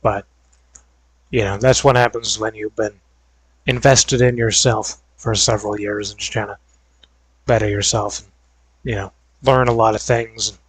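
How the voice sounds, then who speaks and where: A young man talking in a casual, rambling way with lots of fillers, as a video creator chatting before gameplay. His voice is starting to go hoarse. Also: on the low side, fairly even intonation, unhurried, long pauses, poor audio. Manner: rambling, filler-heavy and hedging. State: voice going hoarse. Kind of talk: casual gaming vlog chat.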